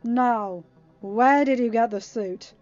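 A woman's voice: medium pitch, fairly even intonation, measured pace, darkish tone, highs cut off, soft background music, natural voice. A woman voicing a sly, menacing teenage sea witch in a story reading, taunting and snarling. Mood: menacing, angry.

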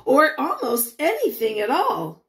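A woman teacher reads a picture book to young children in a high voice that rises and falls widely. She speaks in short, deliberate phrases and stops to ask the listeners questions. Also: wildly swooping pitch, fairly bright tone. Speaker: teacher reading aloud to children.

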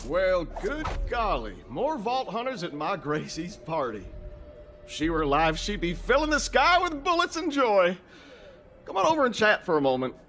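A man's voice: high-pitched, sing-song, moderate pace, fairly bright tone, heavily acted. A man playing a folksy, exuberant bereaved father, hosting a party in a video game. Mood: forced cheer. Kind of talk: video game character acting.

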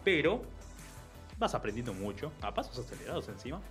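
A man's voice: mid-range voice, a little fast, soft background music. A man with an Argentine accent hosting a casual radio chat, joking and chiming in. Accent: Argentine Spanish. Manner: joking, lively interjections. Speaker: radio host. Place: small radio studio. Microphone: studio mic, headphones.

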